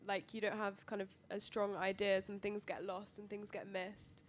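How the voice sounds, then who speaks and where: A woman's voice: mid-range voice, little pitch movement, normal speed, a little hiss or hum. A young woman co-running a magazine, chiming in casually on a panel with easy agreement and fillers. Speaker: student magazine co-founder. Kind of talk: panel discussion.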